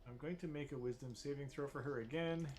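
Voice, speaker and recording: A man's voice: mid-range voice, fast talker. A man narrating a tabletop game as game master, rambling with many fillers and restarts.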